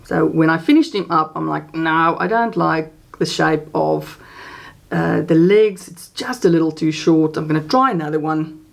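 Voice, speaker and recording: Female crafting host, Afrikaans first language, low gravelly voice, chatty and meandering. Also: normal speed. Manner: chatty, rambling with many restarts and fillers. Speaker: crochet channel host. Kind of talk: casual crafting chat.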